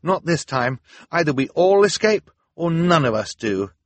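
A man's voice: on the high side, very animated, a little slow, husky, no room sound, pitched above the performer's own voice, heavily acted. A man voicing a principled, cautious young boy in an audiobook, speaking firmly and earnestly. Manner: firm, earnest. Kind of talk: audiobook character acting.

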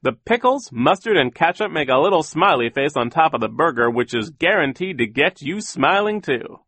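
Goofy little clown waiter from a storybook, perky sales patter, pitched way up. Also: male voice, sing-song, moderate pace, a bit bright, slight background noise. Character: short skinny goofy clown waiter, relentlessly chirpy man.

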